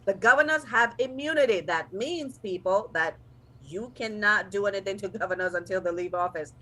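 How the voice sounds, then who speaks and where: A woman hosting a talk show, measured and steady as she works through points. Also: mid-range voice. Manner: steady, measured, leads the agenda. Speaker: host.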